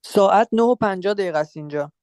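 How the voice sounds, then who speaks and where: A man from Iran calls in to a livestream and gives polite, brief answers. He talks very fast, and his voice is gravelly. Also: high voice, darkish tone. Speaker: caller.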